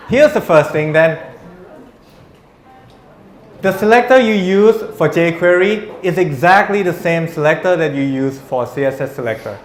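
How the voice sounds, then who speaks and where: A male university lecturer teaching a class, teasing students and calling on them by name. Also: a little high. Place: lecture room. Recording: echoey lecture room.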